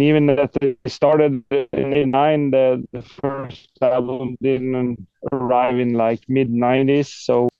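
Man, Swedish accent, clean smooth tone, hesitant, self-deprecating, casual interview guest, dry muffled recording. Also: medium pitch, dark tone, no room sound. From Sweden. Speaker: guest, death metal guitarist.

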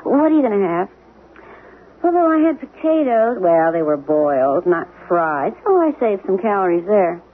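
A woman's voice: mid-range voice, sing-song, moderate pace. A woman playing a chatty, wry, nagging middle-aged wife in a 1970s radio drama. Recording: dull lo-fi 1970s radio recording, faint music.

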